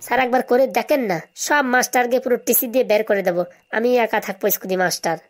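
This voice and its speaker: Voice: mid-range voice, racing, over music, natural voice. A performer voicing a brazen, glib schoolboy in a comedy cartoon. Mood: cheeky, confident. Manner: glib, answers back with absurd confidence. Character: cheeky, brazen schoolboy with buck teeth.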